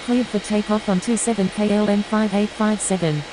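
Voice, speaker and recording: A woman's voice: mid-range voice, fairly even intonation, normal speed, background noise, natural voice. A woman voicing a businesslike airline pilot in a flight game, reading back scripted radio phraseology.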